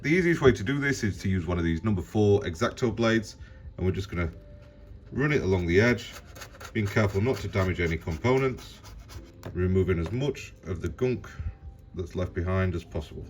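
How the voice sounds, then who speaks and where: A man with a fairly low voice narrates a how-to guide. He is practical and plain-spoken, explaining each step informally as the host of a repair tutorial. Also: expressive, fairly quick, slight background noise.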